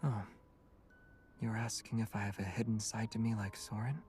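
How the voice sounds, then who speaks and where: An actor playing a calm, guarded young male student with secrets, speaking in a measured way for a video game. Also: on the low side, far from the mic, slight background noise, close to an everyday voice. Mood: calm, reflective.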